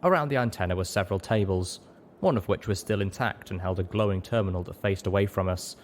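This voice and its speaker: A man's voice: deep voice, fast, a little hiss or hum. A man reading scripted first-person story narration as an audiobook narrator.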